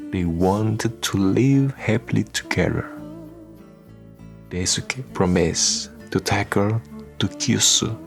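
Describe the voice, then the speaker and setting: A man's voice: fairly low pitch, creaky. A man reading scripted narration for a radio drama. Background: music.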